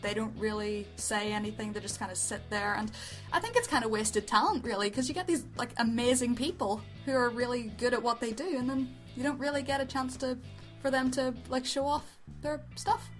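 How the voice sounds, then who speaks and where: Female review co-host, high voice, giggly and unsure of herself, unscripted chat with music underneath. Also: a little fast. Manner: giggly, tentative, self-deprecating. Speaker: amateur web show review co-host.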